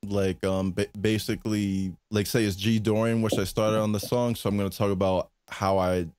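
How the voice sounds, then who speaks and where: A man with a fairly low voice explains his music-making in a halting, filler-heavy way. He restarts his sentences often, and the recording is dry and close. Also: little pitch movement, a little mellow, no echo. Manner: hesitant, many fillers and restarts. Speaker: music producer and streamer. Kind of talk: casual chat.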